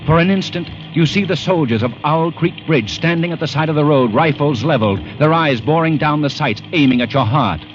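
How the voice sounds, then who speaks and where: An actor playing an intense storyteller, narrating an old-time radio drama theatrically in an American accent. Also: male voice, a little high, a little fast, few pauses, noisy background, lightly acted. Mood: urgent, ominous. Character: intense, ominous second-person storyteller. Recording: muffled vintage radio recording. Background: dramatic orchestral score.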